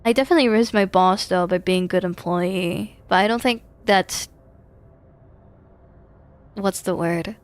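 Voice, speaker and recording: Slow-paced woman streaming games. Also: medium pitch. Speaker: game streamer. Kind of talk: narrated game reading and casual live chat.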